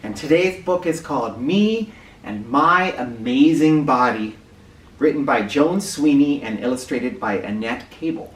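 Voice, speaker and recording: A man hosting an educational read-aloud for children, speaking directly to them with clear emphasis and questions. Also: mid-range voice, expressive, unhurried. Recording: roomy, distant mic with faint noise.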